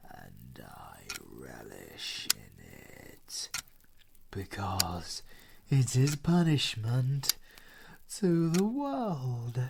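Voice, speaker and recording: A British man playing a grieving, vengeful werewolf villain in an audio roleplay, with breathy, dramatic, halting delivery. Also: lowish voice, noisy background, full character voice. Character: brooding male werewolf villain, vengeful, grieving, tender to lover.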